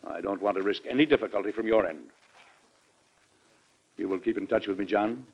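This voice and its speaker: An actor playing a dignified, worried American president and father in an old-time radio drama, formal and measured with some hesitation. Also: fairly low pitch, breathy edge. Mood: grave, regretful. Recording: dull, band-limited old radio recording.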